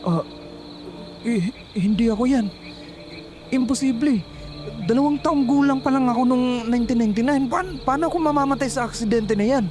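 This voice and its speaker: A woman voicing a shaken young male call center agent in denial, halting and stammering, in a horror audio drama. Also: a little high, a little mellow, natural voice. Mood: defensive disbelief.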